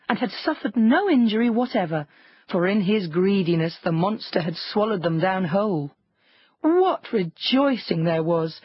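A woman narrating a children's fairy tale audiobook in an expressive storytelling way. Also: mid-range voice, husky, no room sound, lo-fi sound.

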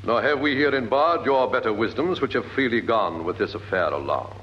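Casting: man playing commanding British monarch, stately and rhetorical, widely swinging pitch, dull vintage radio sound. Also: lively intonation, brisk, slight background noise, natural voice. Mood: solemn, measured. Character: smooth, commanding new king addressing his council. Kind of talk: classical Shakespearean radio acting.

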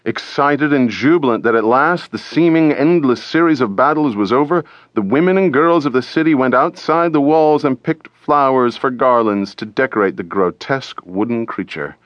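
A man reading an audiobook as dramatic scripted narration, deliberate and theatrical, close to the microphone. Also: medium pitch, lively intonation, no echo, muffled recording.

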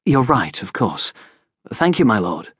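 A man's voice: medium pitch, big pitch swings, measured pace, breathy edge, no echo, studio quality, exaggerated voice. A man voicing a wary, courteous injured young traveller in an audiobook, speaking hesitantly and politely. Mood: earnest, grateful. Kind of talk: audiobook character voice.

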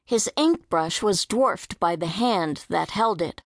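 A woman reading a scripted story as an audiobook narrator, in a measured, careful manner. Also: fairly low pitch, expressive, no room sound, studio quality.

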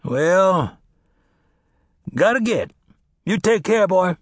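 A man's voice: sits high, very animated, drawn-out words, dry recording, pitched above the performer's own voice, full character voice. A male narrator voicing a cranky old farmer with a dry, grumbling manner. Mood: gruff.

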